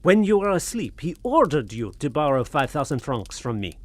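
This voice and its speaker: An actor playing a polite, sceptical French gentleman in a radio drama, courteous and questioning. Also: fairly high pitch, very animated, normal speed, no echo. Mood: incredulous questioning.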